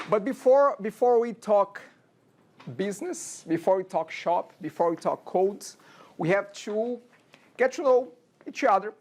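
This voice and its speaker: A man in his forties from Brazil, with a Brazilian accent, giving a casual classroom lecture as a programming instructor and often checking in with "okay?" and rhetorical questions. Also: high-pitched. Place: university classroom.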